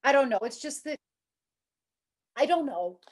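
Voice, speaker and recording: A woman's voice: high-pitched, animated. An actress playing a frightened young woman who halts and repeats herself anxiously, in old-time radio horror style. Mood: nervous, pleading. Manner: halting, anxious, repeats words. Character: frightened, insistent young woman haunted by shadowy presences. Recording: radio drama with music behind.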